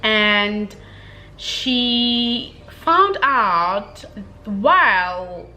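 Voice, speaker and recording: A young woman giving a casual, chatty book review, speaking in an expressive, gushing, slangy way with dramatic emphasis. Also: mid-range voice, extremely slow, poor audio.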